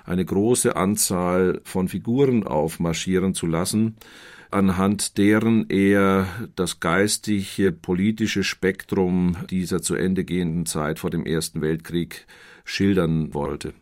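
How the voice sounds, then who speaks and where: Deep voiced guy, literature expert explaining a novel. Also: fairly even intonation, takes it slowly, darkish tone.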